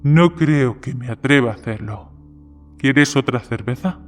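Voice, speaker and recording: A man voices a curious, skeptical newcomer in a horror tale. His pitch is average and his manner matter-of-fact, over quiet music. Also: unhurried, lightly acted. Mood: casual.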